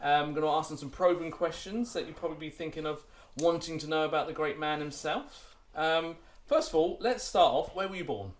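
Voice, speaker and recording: A man's voice: on the high side, brisk. A man casually hosting an interview for his online video channel. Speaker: YouTube host and interviewer.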